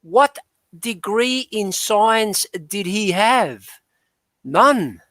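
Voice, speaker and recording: High-voiced, slow-speaking male host. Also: expressive, a bit bright, no echo. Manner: hesitant, many fillers. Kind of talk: casual discussion.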